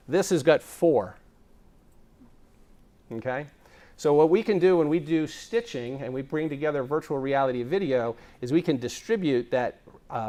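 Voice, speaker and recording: A man's voice: medium pitch, a little mellow. A man giving a conference presentation on video production, explaining with energy and frequent fillers. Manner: enthusiastic, explanatory, filler-heavy. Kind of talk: tech conference talk. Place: conference stage.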